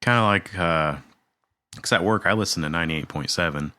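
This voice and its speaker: A man with a very low voice that still sounds bright and edgy, chatting easygoingly on a podcast. The sound is close and dry, and he drops in jokey asides. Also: brisk, clear, resonant tone, dry recording. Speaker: podcast co-host. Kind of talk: casual chat.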